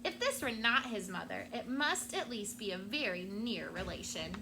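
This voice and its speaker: A woman teacher reading a picture book aloud to her class with expressive storytelling, stopping now and then to explain a word. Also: medium pitch, moderate pace, very noisy.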